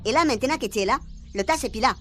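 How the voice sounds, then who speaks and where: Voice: mid-range voice, lively intonation, racing, fairly bright tone, a little hiss or hum, lightly acted. A performer playing a puzzled, insistent young housemate in a comic radio serial. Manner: bewildered, insistent. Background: music.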